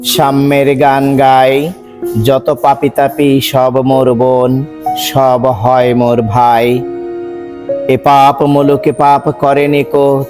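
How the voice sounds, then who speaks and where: A man reciting a poem in a measured, rhythmic, declamatory way. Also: medium pitch. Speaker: poetry reciter. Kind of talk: dramatic recitation of a Bengali poem.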